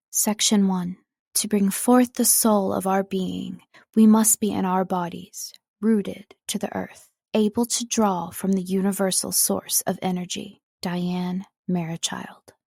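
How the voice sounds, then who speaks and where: A woman narrator reads a prepared script in a measured, carefully enunciated way. Her voice sits in a middle register with a noticeable creak, and the recording is dry and close. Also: mid-range voice, mellow, dry recording. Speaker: voice-over artist and audiobook narrator.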